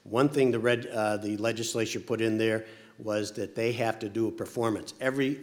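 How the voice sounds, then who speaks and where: A man making emphatic panel remarks, often repeating words as he starts a sentence. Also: fairly low pitch.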